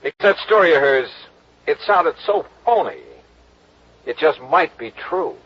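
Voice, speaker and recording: A man's voice: fairly high pitch, animated, unhurried, background noise. An American man playing a tough, wisecracking insurance investigator in a 1950s radio detective drama, clipped and pressing. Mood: wry narration. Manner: clipped, hard-boiled, pressing. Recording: dull old radio recording.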